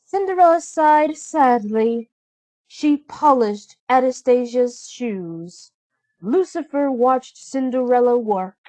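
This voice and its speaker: Unhurried, expressive woman reading a story. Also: on the high side, dry recording, rough recording. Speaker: storybook reader. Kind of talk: children's read-aloud narration.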